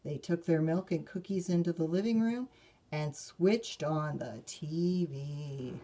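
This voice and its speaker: Woman, lowish pitch, children's storybook reader, expressive, measured, addresses young listeners. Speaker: librarian reading aloud to children. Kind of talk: children's storybook read-aloud.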